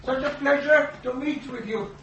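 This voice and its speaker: An actor playing a distraught foreign professor with a European accent, halting and repeating himself in a fearfully polite way in a radio drama. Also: male voice, extremely high pitch, fairly bright tone, lo-fi sound, a little hiss or hum. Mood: effusive, nervous.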